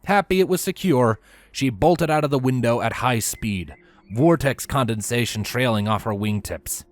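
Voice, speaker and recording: A man narrating a story from a script in an expressive, animated way with theatrical emphasis. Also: medium pitch, normal speed, no room sound. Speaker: audiobook-style narrator of fan fiction.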